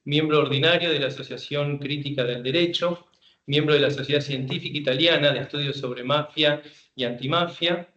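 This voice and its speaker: A man's voice: fairly high pitch, normal speed, fairly bright tone. Man introducing a speaker in an echoey room. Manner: reads a bio, then loose and informal. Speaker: seminar moderator. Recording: echoey room, muffled lo-fi sound.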